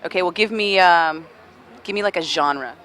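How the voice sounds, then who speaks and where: A woman radio host interviewing a musician outdoors, teasing him with quick banter. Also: fairly low pitch, a bit bright. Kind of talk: casual interview. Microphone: handheld mic, filmed on camera.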